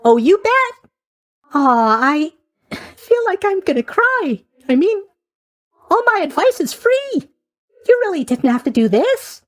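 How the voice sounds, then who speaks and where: A man's voice: very high-pitched, very animated, slow pace, fairly bright tone, exaggerated voice. A man voicing a chatty, eager-to-please young god of sleep in a video game, rambling along with bubbly asides. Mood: overwhelmed, touched. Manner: rambling, bubbly, hesitant asides, tag questions. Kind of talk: video game character voice acting.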